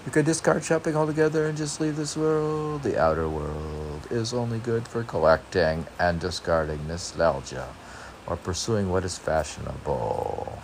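A man's voice: low voice, moderate pace, poor audio. A man reading his own short poems aloud, rambling wryly and talking back to himself in casual commentary. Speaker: poet reading own work. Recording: noticeable background noise.

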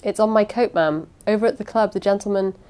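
A woman's voice: mid-range voice, a little fast, mellow, clean tone, close to an everyday voice. Woman reading as a deferential old manservant. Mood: injured innocence. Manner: deferential, protesting innocence. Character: shrewd, sheepish old male servant.

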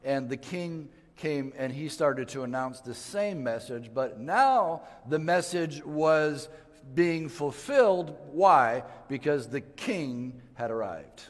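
A man's voice: a little high, expressive, slow. An older man who grew up in West Africa, speaking as a missionary in an earnest, emphatic preaching manner with frequent restarts. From West Africa (Mali). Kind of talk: prayer then church missionary talk. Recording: long hall reverb.

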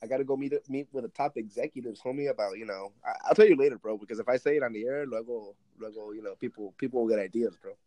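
A man hosting a casual podcast. He rambles with many fillers, then trades loud jokes and drops Spanish into his talk. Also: medium pitch, quick pace, few pauses, poor audio. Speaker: podcast host.

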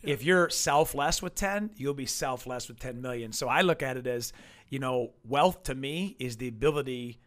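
A man's voice: medium pitch, normal speed, fairly bright tone. A man co-hosting a podcast, speaking emphatically and pressing questions in casual conversation. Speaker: podcast co-host.

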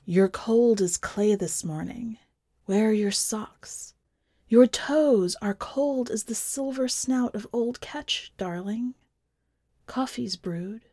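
A woman voicing a plain-spoken, affectionate, puzzled husband in a story, low-key and matter-of-fact. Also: slow, mellow, dry recording, studio quality. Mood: fond, puzzled.

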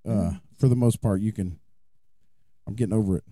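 A man co-hosting a casual podcast, slightly hoarse as his voice recovers, telling stories and cracking puns. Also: fairly low pitch, a little fast, dark tone, no echo. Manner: jokey storytelling, dad-joke puns. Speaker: podcast co-host. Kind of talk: casual chat.

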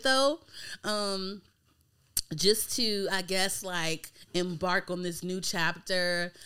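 Smooth-voiced American woman, slangy and casual. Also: lowish voice, fairly bright tone. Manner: informal, slangy, swears freely. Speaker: podcast host. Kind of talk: casual podcast chat.

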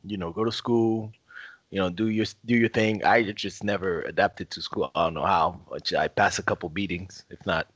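Low-voiced man, dry close sound. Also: moderate pace, no echo. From Haiti. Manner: relaxed storytelling with frequent laughs and fillers. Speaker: podcast guest. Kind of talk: casual conversation.

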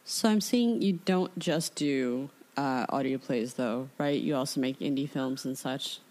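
A woman with a very low voice hosts a podcast. She talks casually and informally, as if in everyday conversation. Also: unhurried, a little mellow. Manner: informal, peppers talk with "like". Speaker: podcast host. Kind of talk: casual chat.

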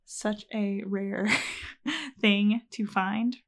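A woman's voice: medium pitch, extremely slow. A young woman hosting a podcast, chatting earnestly and openly with her husband and laughing often. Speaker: podcast host. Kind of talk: casual chat.

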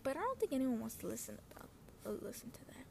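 A young woman with a fairly high voice hosts a loose personal podcast. She rambles in casual slang and keeps cutting across her own sentences. There is light outdoor noise from wind and cars behind her. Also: big pitch swings, fast talker, creaky, warm tone. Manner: scattered, slangy, self-interrupting. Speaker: podcast host. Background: wind, cars, ambient noise.

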